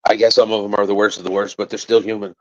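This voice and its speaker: A man speaks in a very low, deep register, and his answers stop and restart as he searches for words. When he pushes back he turns blunt and defiant. The audio is dry and slightly muffled, with a lo-fi edge. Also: little pitch movement, dry recording. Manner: halting and rambling, then rising to defiant, forceful outbursts. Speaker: father of a missing child, interviewee. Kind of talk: interview answers.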